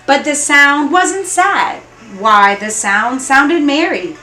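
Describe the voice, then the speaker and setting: A woman's voice: sits high, lively intonation, takes it slowly, sharp tone. A woman reading a rhyming children's story aloud, expressively, with singsong rhythm and dramatic emphasis. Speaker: storybook reader. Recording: roomy echo with music behind.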